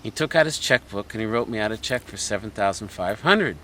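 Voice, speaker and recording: A middle-aged man, a sculptor, chatting and telling a long anecdote in a relaxed interview. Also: fairly low pitch, fairly quick, slight background noise. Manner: chatty anecdotal storyteller, laughs often. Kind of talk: casual storytelling interview.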